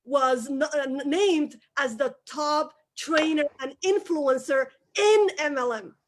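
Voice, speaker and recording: Lady, high voice, punchy, says things twice to drive them home. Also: slow. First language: Somali. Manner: emphatic, repeats key phrases for emphasis. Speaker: guest, business owner. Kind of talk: motivational interview talk.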